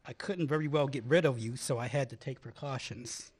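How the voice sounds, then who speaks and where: A man's voice: medium pitch, fairly quick, darkish tone, husky, close to an everyday voice. An actor playing an earnest man in love, a historical researcher, in a dramatic radio-play style. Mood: teasing. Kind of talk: stage radio play acting.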